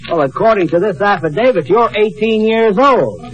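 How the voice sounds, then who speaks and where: Casting: polite, drily amused clerk in vintage radio sitcom, voice slightly high. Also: male voice, lively intonation, normal speed, muffled recording, music in the background. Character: polite, dryly amused passport office clerk. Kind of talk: 1950s radio sitcom acting.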